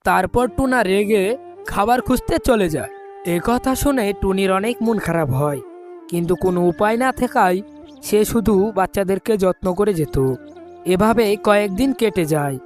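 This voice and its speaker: A woman's voice: medium pitch, normal speed, a little mellow, soft background music. A woman narrating a scripted children's cartoon story as the storyteller.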